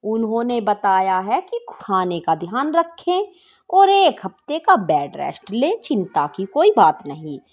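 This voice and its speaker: Slow, lively woman telling a story. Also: medium pitch, muffled recording. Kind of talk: first-person bedtime story narration.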